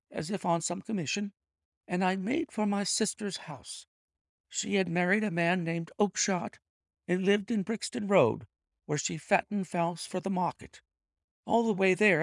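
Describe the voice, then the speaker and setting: A man's voice: high-pitched, gravelly, no room sound, clean studio sound, voice pushed up. A man reading aloud and voicing a frightened, cornered male thief who pleads and confesses in a panicky way. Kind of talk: audiobook character voice.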